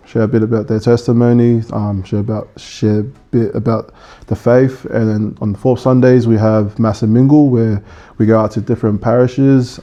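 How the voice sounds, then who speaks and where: Mellow-voiced young man chatting easily. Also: mid-range voice, highs cut off. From Samoa. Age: 20s. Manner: easygoing, laughs often, many ums. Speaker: podcast guest. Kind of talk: casual podcast chat.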